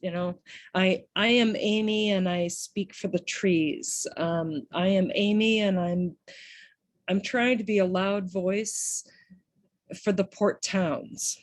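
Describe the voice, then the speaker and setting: A woman giving public comment at a town meeting, earnest and halting, with many pauses and restarts. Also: mid-range voice, steady tone, no room sound.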